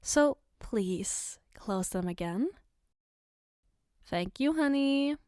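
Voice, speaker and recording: A woman playing an affectionate, gently teasing girlfriend in a soft-spoken ASMR roleplay, tender and reassuring. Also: fairly high pitch, animated, no room sound. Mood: gentle, attentive. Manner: tender, reassuring, playfully coaxing, unhurried. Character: affectionate, gently teasing girlfriend doing her partner's makeup.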